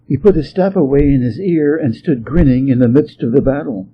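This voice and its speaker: A man from Hawaii reading a storybook tale as an expressive narrator. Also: medium pitch, brisk, dull audio, a little hiss or hum. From Hawaii, United States.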